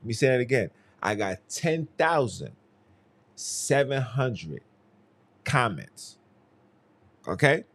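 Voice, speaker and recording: A young man hosting a podcast on his own, talking in a heated, emphatic voice that sits at an ordinary middle pitch for a man. He repeats phrases for weight and keeps up a loose, conversational flow. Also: sing-song, slow pace, long pauses, fairly bright tone. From Haiti. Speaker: podcast host. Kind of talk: casual solo commentary.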